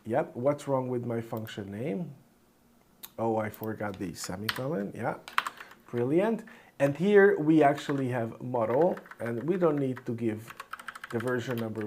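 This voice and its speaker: Hungarian-speaking man coding aloud, noisy recording. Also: medium pitch. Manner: thinks aloud while typing. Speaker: programming tutorial host. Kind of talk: informal screencast coding tutorial. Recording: clear background noise, keyboard clicks. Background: computer keyboard typing and mouse clicks.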